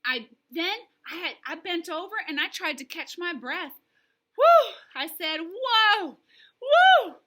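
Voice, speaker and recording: A woman voicing an excitable, mischievous little girl who narrates a children's storybook theatrically, in childlike grammar. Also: very high voice, normal speed, hardly any pauses, pitched above the performer's own voice, heavily acted. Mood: breathless amazement. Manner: theatrical, childlike grammar, dramatic shrieks and hushes. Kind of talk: animated children's storybook reading.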